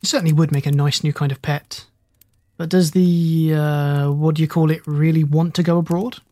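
A narrator voicing a kind, mild-mannered doctor who murmurs gently. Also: medium pitch, flat delivery, normal speed, no echo, studio quality, natural voice. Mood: gentle.

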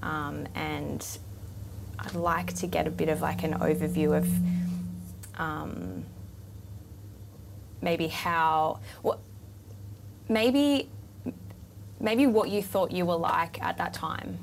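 A woman's voice: low-pitched, slow pace, gravelly, pauses often, background noise. A woman, chatting animatedly with an old friend and asking her questions, with many fillers. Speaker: interviewer. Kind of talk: casual conversation. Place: event venue.

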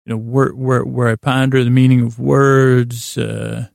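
Very creaky, meandering male bedtime podcaster. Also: medium pitch, flat delivery, darkish tone, dry recording, studio quality. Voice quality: creaky, gravelly. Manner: lulling, halting, self-deprecating. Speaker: podcast host. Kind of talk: rambling, meandering bedtime monologue.

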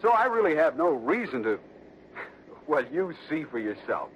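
A man's voice: a little high, very animated, a little slow, fairly bright tone. An actor playing a chatty, fair-minded American insurance salesman who hedges and hesitates, in early sixties radio drama style. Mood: hesitant, hedging. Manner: hesitant, hedging, colloquial. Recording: muffled, noisy vintage radio recording.

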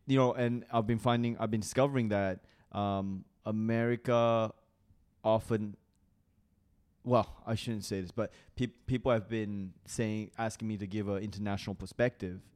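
A man in his thirties, a comedian from Malaysia raised in Singapore, speaking with an international school accent in animated, laughing chat full of swearing. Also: lowish voice, moderate pace, clear, resonant tone. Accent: international school English. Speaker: comedian guest. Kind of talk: casual chat.

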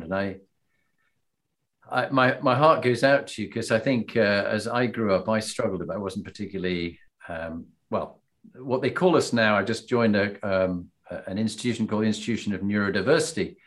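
A man's voice: on the low side, moderate pace, fairly bright tone. A man hosting an interview, asking questions with frequent restarts and "um"s. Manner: hesitant, restarts and "um"s. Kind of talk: casual interview conversation.

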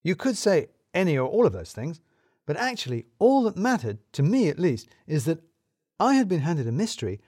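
A man's voice: fairly high pitch, sing-song, moderate pace, darkish tone, husky, no echo. Wry male storyteller, close and dry. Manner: wry, arch, wordy storytelling. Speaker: narrator of a serial murder mystery story. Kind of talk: scripted first-person story narration.

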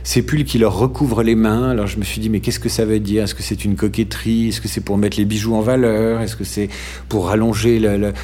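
A middle-aged man from France with a somewhat low voice answers interview questions in a slow, musing way. He pauses often and starts over, dropping a little dry humor along the way. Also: little pitch movement, mellow, a little hiss or hum. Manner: thoughtful, many "euh" pauses and restarts, dry humor. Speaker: interview guest. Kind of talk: hesitant conversational interview.

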